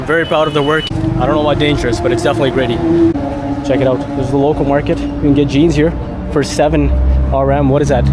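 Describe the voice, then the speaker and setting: A man's voice: a little high, heavy background noise. A Canadian man walking through a city market outdoors, chatting upbeat to his viewers as a travel vlogger. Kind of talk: handheld travel vlog narration.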